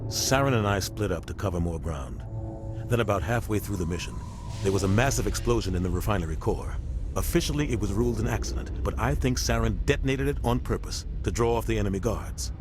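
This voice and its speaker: Man playing grave, low-voiced veteran captain. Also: fairly quick, over music, lightly acted. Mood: grave recounting. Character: weary, regretful older military captain, mentor to commander. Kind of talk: dramatic video game dialogue.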